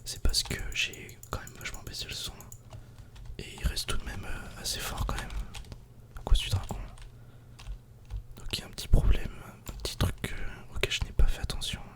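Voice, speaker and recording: A man softly whispering casual, informal ASMR commentary while playing a video game. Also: normal speed. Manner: soft-spoken, casual, informal.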